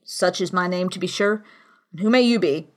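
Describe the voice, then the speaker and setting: A woman's voice: on the low side, normal speed, lightly acted. A woman voicing a hearty, commanding male tavern landlord, an old seafarer with blustering, salty talk, in a storybook reading. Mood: genial.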